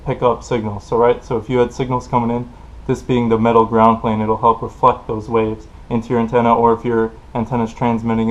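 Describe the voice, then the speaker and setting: A man giving an informal technical explainer in a plain-spoken, loosely improvised way, as a tutorial presenter. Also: mid-range voice. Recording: dull, lo-fi voice recording.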